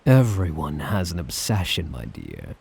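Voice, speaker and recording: A man playing a smug, obsessive dictator in an ASMR roleplay, theatrical and drawling, with condescending, menacing affection and a husky voice. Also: a bass voice, wildly swooping pitch, unhurried, darkish tone, heavily acted. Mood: seductive, obsessive. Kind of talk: ASMR roleplay, dramatic monologue to listener.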